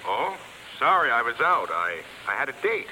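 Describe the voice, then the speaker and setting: An actor playing a smooth, cocky male vaudeville singer in 1950s radio drama, soft-spoken, coolly teasing and self-possessed. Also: medium pitch, big pitch swings, moderate pace, poor audio. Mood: offhand. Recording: thin, hissy old radio broadcast.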